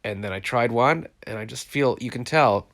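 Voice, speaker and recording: A man's voice: mid-range voice, normal speed, a bit bright. Congested man venting on a live stream. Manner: frustrated, venting, rambling. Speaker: vlogger hosting a live stream. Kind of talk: casual rambling chat to viewers. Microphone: phone, live stream from home.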